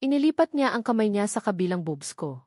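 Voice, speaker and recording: A woman's voice: medium pitch, very animated, a little fast, dark tone, no room sound. Woman narrating a novel, very dry sound. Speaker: audiobook narrator. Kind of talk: scripted first-person romance novel narration.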